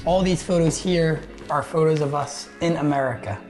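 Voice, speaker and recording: A woman on a reality show, calmly reflecting in an interview. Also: low voice, normal speed. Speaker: reality show cast member. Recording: TV clip with music bed.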